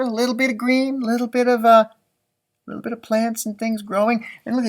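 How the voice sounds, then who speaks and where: A man reading a storybook aloud to children as a teacher, expressively, with informal explanatory asides. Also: sits high. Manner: expressive, explains words and pictures simply for children.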